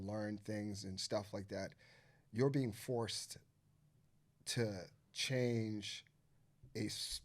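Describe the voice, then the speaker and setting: A man's voice: on the low side, a little mellow. A Nigerian-born man with an American accent, talking as a podcast guest in a loose, profane way full of filler phrases. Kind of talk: casual conversation.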